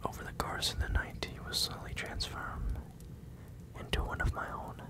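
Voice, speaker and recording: A performer voicing a calm, commanding male werewolf in a whispered ASMR roleplay, with deliberate, formal phrasing. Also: very high voice. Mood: calm, soothing. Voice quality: breathy whisper.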